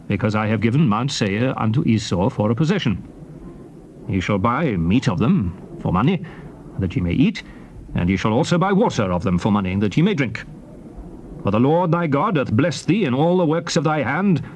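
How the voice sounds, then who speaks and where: A man's voice: mid-range voice, darkish tone, slight background noise, close to an everyday voice. An actor playing a solemn biblical leader recounting and exhorting his people, declaiming archaic scripture theatrically. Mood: commanding, measured. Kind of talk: dramatized scripture reading, theatrical oratory.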